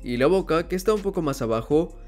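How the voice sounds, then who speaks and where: A man narrating a step-by-step drawing tutorial in a calm, instructional voice-over. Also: fairly high pitch, quick pace, faint music behind. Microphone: voice-over over hands-on drawing footage.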